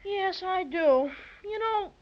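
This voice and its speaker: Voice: very high-pitched, animated, slow pace, heavily acted. A young performer playing an earnest, polite little boy with gee-whiz delivery, in 1930s radio style. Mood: wistful. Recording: muffled vintage 1930s radio recording.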